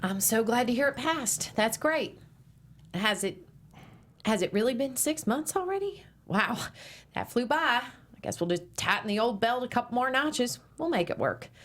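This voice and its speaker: A woman's voice: on the high side, expressive, moderate pace, fairly bright tone. A woman reading the part of a proud, stubborn former radio host with a prim manner, at a table read.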